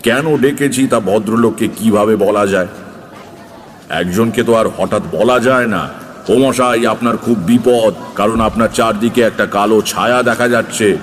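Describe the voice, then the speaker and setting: An actor playing a seasoned tantric sage who tells eerie true tales in a measured, unhurried way for an audio drama. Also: male voice, on the low side, in a large hall, close to an everyday voice. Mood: measured, uneasy. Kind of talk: dramatised audio story narration. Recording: faint background noise.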